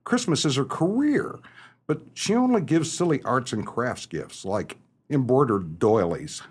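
Man acting a wildly animated, sardonic small-town husband. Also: mid-range voice, measured pace, slightly rough. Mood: emphatic, scornful. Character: exasperated, wry small-town husband mocking a socialite. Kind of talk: stagey comedic radio play acting.

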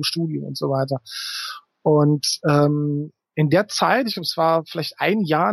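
A middle-aged man speaks as an interview guest. His voice sits a little high and has a husky grain, recorded close and dry. He explains his points conversationally, pausing often to search for words. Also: dry recording.

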